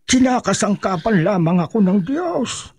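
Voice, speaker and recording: A pious old grandfatherly teacher in a radio drama. His voice is extremely high for a man, earnest and insistent, recorded dry and close. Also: very animated, moderate pace, breathy edge, dry recording, heavily acted. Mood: earnest, instructive. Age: older. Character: devout, patient old male mentor, insistent.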